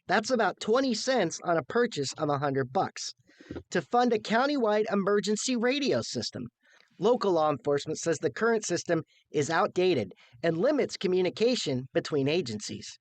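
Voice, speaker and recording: A man's voice: sits high, very animated, moderate pace. A young American man reading a scripted television news report as a reporter.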